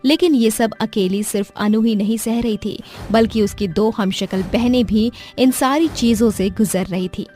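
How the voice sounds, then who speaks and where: A woman's voice: a little high, normal speed, few pauses, mellow. A woman narrator reading a scripted dramatic story for listeners. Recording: music behind the voice.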